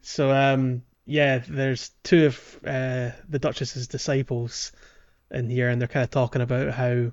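A man's voice: mid-range voice, level tone, measured pace, a little mellow, lo-fi sound. A man co-hosting a casual podcast, explaining a video game in a hesitant, piece-by-piece way. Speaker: podcast co-host. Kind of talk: casual game walkthrough chat. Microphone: podcast voice recording.

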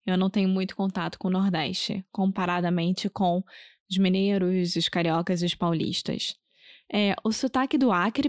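A woman from Brazil co-hosting a casual podcast, chatty and quick to laugh. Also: medium pitch, darkish tone, no echo, studio quality. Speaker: podcast co-host. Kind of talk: casual language podcast chat.

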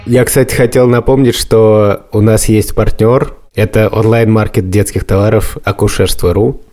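Guy with a lowish, dark mellow voice just chatting on a podcast. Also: steady tone, normal speed, faint music behind. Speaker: podcast co-host. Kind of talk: casual podcast chat, storytelling.

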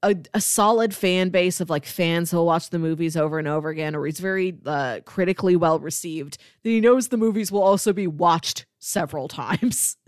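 A woman's voice: lowish voice, moderate pace, few pauses, dry recording, clean studio sound. A woman, a young adult, co-hosting a casual film discussion in an enthusiastic, explanatory way. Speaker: film podcast co-host.

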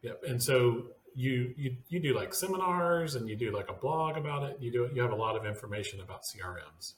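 A man hosts a business talk show, chatting loosely and circling his points with fillers. His voice sits at a middling pitch and rings a little in a reverberant room. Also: animated, brisk, slight background noise. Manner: rambling, hedging with fillers. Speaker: podcast host. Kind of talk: casual roundtable chat.

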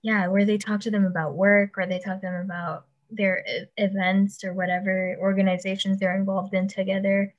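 A woman's voice: mid-range voice, flat delivery, a little fast, hardly any pauses. A young woman of Pakistani family raised in the United States, talking candidly and thinking aloud in a casual conversation. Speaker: conversation partner. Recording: muffled, dull sound.

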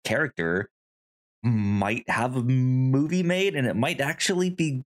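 A podcast co-host speaks in a relaxed, conversational review style. The voice sits at a medium pitch, and sentences come haltingly, with words repeated and restarted. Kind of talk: casual review chat.

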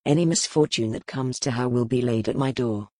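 Stern male duke, curt and commanding, deep, dry close read, put on by a woman narrator. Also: fairly quick, a little mellow, no room sound. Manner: curt, authoritative.

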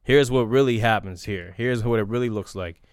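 Young male host, low, clean tone, casual candid storytelling, dry close sound. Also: fairly quick, no room sound. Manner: relaxed, candid, frequent fillers and restarts. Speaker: podcast host. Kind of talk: casual interview conversation.

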